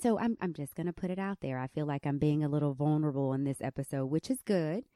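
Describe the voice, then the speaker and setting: A woman in her thirties with a squeaky country voice, telling a candid personal story as host of her podcast. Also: fast talker, no echo, clean studio sound. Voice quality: squeaky, high voice. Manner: candid, animated storytelling with laughs. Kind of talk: personal reflective podcast monologue.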